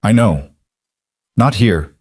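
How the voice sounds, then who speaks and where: A man voicing a candid, careful woman friend in story dialogue, gently probing as they talk. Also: lowish voice, expressive, takes it slowly, husky, exaggerated voice. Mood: curious, careful. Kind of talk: storybook dialogue within narration.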